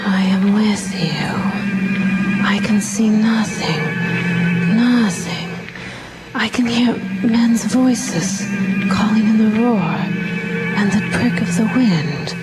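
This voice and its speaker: Under loud music, a woman lost in an eerie trance. She speaks extremely slowly in halting, repeated fragments, sometimes sinking toward breath, in radio drama style. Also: medium pitch, poor audio. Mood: entranced, eerie. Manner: halting, repetitive, breathy whispers at times.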